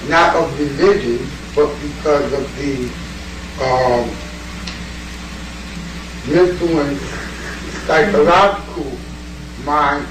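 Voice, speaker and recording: Slow, earnest man in an echoey hall. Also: a little high. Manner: slow, earnest, searching for words. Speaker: audience member asking a question. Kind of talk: Q&A comment from the floor. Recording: echoey hall, distant mic, noisy.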